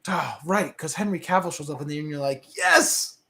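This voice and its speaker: A man's voice: fairly high pitch, sing-song, a little fast, sharp tone, slight background noise. A man giving opinionated, conversational takes as a panelist in a casual movie discussion. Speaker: podcast panelist.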